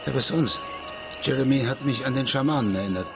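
An actor playing a troubled, guilt-ridden ex-detective who answers tersely and defensively in a German radio crime drama. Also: male voice, mid-range voice, a little fast, gravelly, background noise, natural voice. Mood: guilty, resigned. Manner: terse, clipped recollection. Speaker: client being questioned. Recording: studio radio play with music underneath. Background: music.

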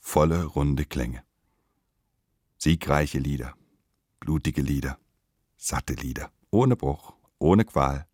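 A man narrates a literary radio drama in a measured, declamatory way with emphatic phrasing. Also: rumbling low, darkish tone, no room sound, studio quality.

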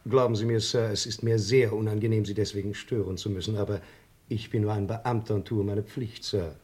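An actor playing a deferential, dryly insinuating Scotland Yard inspector, formal and over-polite, in a 1960s German radio crime comedy. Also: male voice, lowish voice, steady tone, fairly quick, a little mellow, husky. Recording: old 1960s radio play recording.

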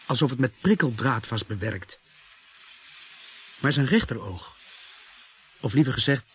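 A man's voice: medium pitch, lightly acted. An actor playing an earnest, reserved rookie policeman in a radio drama, speaking. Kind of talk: radio drama acting and first-person narration. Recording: faint background noise.

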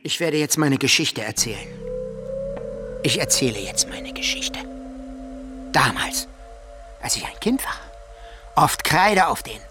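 An actor playing an insistent, desperate drowned wanderer who speaks in halting fragments in a radio play. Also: male voice, mid-range voice, expressive, over music. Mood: rambling, haunted. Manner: halting, fragmented, rambling recitation, sometimes dropping to whisper. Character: insistent, desperate drowned wanderer demanding to tell his story. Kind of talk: German radio play acting.

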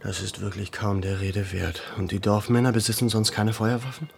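A man's voice: fairly low pitch, level tone, moderate pace, gravelly, soft background music. An actor playing a determined man who questions people firmly and commands, in a radio play. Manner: firm, probing, commanding. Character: determined landowner leader, adult man.

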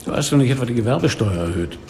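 An actor playing a weary, middle-aged police inspector in a radio drama, speaking and narrating in a dry, caustic manner. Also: male voice, mid-range voice, animated, racing, a little hiss or hum, close to an everyday voice. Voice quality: gravelly. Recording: reverberant, loud music behind.

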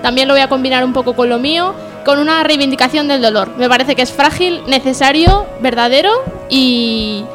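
A woman with a high voice presents her radio section informally. She is earnest and hesitant, often putting herself down, with faint music behind her. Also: brisk, few pauses. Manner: hesitant, self-deprecating, earnest. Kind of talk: informal spoken radio monologue.

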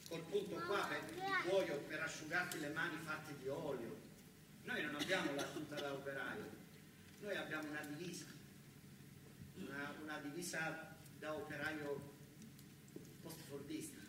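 A man with a southern Italian accent performing a theatrical monologue as an exasperated, self-deprecating factory worker, talking colloquially and emphatically with repeated words. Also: on the high side. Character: exasperated male car-factory worker and young father, talkative, self-deprecating. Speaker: stage actor performing a monologue. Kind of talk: theatrical narrative monologue in character. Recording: very echoey, noisy distant stage recording.

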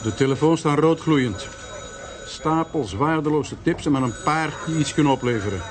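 A man's voice: a little high, expressive, normal speed, close to an everyday voice. A man playing a dutiful police subordinate in a radio drama, reporting factually and deferentially to his superior. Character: dutiful, matter-of-fact male police subordinate. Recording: noisy, vehicle ambience behind.

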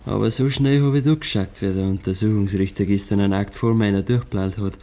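A weary, uneasy Bavarian husband, acted by a man in a 1950s radio drama. His voice is low and muted, with a dark, mellow colour. He mutters haltingly in dialect. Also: fairly even intonation, close to an everyday voice. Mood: sullen.

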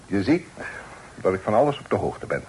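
A man's voice: on the low side, very animated, brisk, darkish tone. A man playing a stern, suspicious father and magistrate in a Dutch radio drama, speaking in a formal, reproachful way. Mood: stern, indignant. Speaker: radio play actor. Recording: faintly noisy old radio play recording.

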